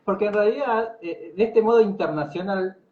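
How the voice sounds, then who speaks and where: A man's voice: high-pitched, moderate pace, dull audio, background noise. A man hosting a casual live-stream interview, chatty and rambling, often repeating his words. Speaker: host. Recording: loud music behind.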